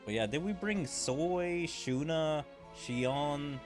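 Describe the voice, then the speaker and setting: A man's voice: a little high, slow pace. A man doing casual gaming commentary as a streamer, thinking aloud in a musing, speculative way. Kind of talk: casual commentary, reading game dialogue aloud. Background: game music.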